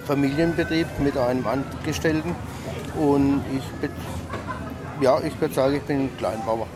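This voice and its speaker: A man's voice: mid-range voice, moderate pace, noisy background. A man, a small farmer answering an interview at an outdoor market. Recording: busy outdoor market chatter.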